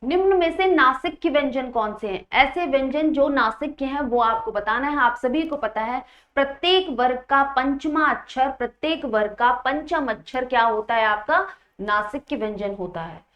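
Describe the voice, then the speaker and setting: Female exam-prep teacher, fairly high voice, instructional, repeats points and puts questions to students; slightly muffled lo-fi sound. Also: expressive, moderate pace, fairly bright tone. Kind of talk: Hindi grammar lesson, explaining quiz questions.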